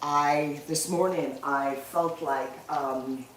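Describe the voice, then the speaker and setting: A woman's voice: sits low, fairly even intonation, takes it slowly, reverberant, a little hiss or hum, low-quality recording. A woman, chatting casually with viewers as she cooks on a livestream, laughing easily. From Guyana. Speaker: livestream cooking host. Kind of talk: casual livestream cooking chat.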